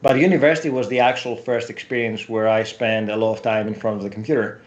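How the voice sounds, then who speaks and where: A man's voice: on the low side, fast. Greek native, forties, man, interview guest, storytelling tone, slightly dull audio. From Europe. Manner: storytelling, animated asides. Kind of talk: casual interview.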